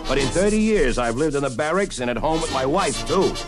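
Male actor as middle-aged career serviceman, slightly high voice, jokey punchlines, radio comedy, music behind. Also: animated, fairly quick, natural voice. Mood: grumbling, jokey. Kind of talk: comedy sketch radio spot.

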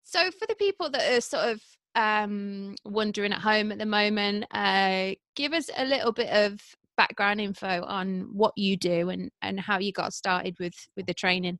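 A woman's voice: medium pitch, moderate pace, few pauses, a bit bright, no echo. A woman hosting a podcast, chatty and friendly with frequent ums and uhs as she introduces and questions her guest. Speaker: podcast host. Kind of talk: casual podcast interview.

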